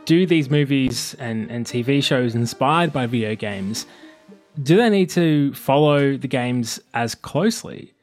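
A man's voice: mid-range voice, lively intonation, measured pace. A male host presents a feature in a mid-range voice, with music playing behind him. He moves between scripted narration and conversational interview questions.

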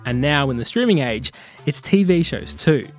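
A man's voice: on the high side, lively intonation, normal speed, soft background music. A man presenting as host, moving between scripted narration and interview questions.

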